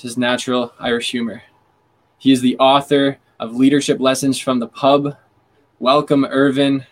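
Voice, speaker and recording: A man hosts a podcast, reading his guest's introduction from a script. His words are eager, but his voice stays level and narrow in pitch. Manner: enthusiastic, reads a scripted introduction. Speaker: podcast host. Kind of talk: podcast interview hosting.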